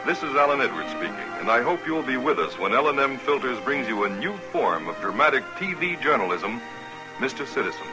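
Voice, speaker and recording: A man's voice: medium pitch, big pitch swings, a little fast. A man reading a scripted 1950s broadcast promo as a program host, earnest and addressing the listener directly. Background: music.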